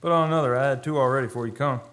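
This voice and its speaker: Easygoing American rig worker, played by a male actor, plain-spoken and folksy, middle-pitched, radio drama storyteller. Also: rapid-fire, a bit bright, close to an everyday voice. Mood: hearty, easygoing. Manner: folksy, ungrammatical, explanatory. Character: plain-spoken, easygoing oil rig hand telling his tale. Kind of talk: radio play acting and narration.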